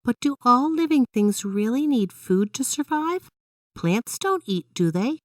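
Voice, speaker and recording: A woman's voice: a little high, expressive, a little slow, dry recording, clean studio sound, recorded from a distance, voice pushed up. A woman voicing a curious, eager little girl in a children's storybook, with a wide-eyed, inquisitive manner.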